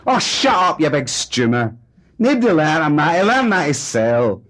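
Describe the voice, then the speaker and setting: A man voicing a loud, scornful middle-aged wife who screeches back in a very high voice. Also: sing-song, unhurried.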